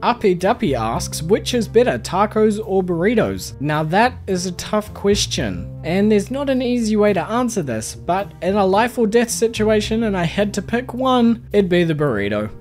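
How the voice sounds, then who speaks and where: A man's voice: sits high, big pitch swings, moderate pace, few pauses, darkish tone. A young man with a New Zealand accent, reading a friendly, chatty voice-over that answers viewer questions. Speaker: game video creator. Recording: faint background music under voice-over.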